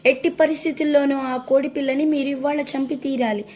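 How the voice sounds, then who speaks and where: A woman's voice: sits high, little pitch movement, fairly quick, darkish tone, pitched above the performer's own voice, lightly acted. A woman voicing a stern, threatening mother hawk in a children's story, commanding and insistent. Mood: menacing, commanding. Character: stern, threatening mother hawk ordering her chicks to kill.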